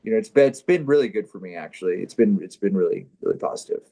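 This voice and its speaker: A man performing on a comedy show, bantering casually and reading through a script in a mock-serious, deadpan way. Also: on the low side, fast talker.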